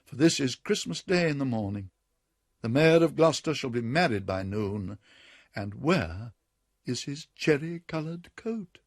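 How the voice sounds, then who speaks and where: A man voicing a poor, weary old tailor who laments in a worn, breathy way. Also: medium pitch, lively intonation, a little slow, no echo, clean studio sound.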